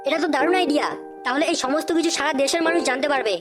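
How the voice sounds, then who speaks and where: A woman's voice: high voice, racing, close to an everyday voice. A woman voicing a cheeky, indignant young male job seeker in a comedy cartoon, with a sarcastic, challenging manner. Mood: earnest. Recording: music behind the voice.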